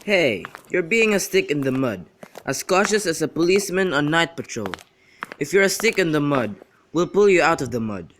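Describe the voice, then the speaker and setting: A witty, teasing young gentleman in an amateur radio play, acted by a young man, with a slightly high voice. He banters and declaims, and clear noise and clicks sit on the homemade recording. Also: animated, normal speed. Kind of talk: amateur student radio drama acting.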